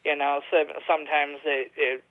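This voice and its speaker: A man calling in to a radio show, talking hesitantly with many fillers and reading his own poem softly. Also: on the high side, little pitch movement, normal speed. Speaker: caller, poet. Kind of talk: casual phone chat and poetry reading. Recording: tinny phone line.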